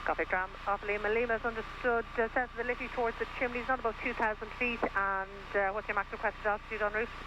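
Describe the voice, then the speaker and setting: A woman's voice: a little high, fairly even intonation, fast, hardly any pauses, muffled recording, noisy background. A woman working as a tower controller, speaking in standard phraseology over aviation radio.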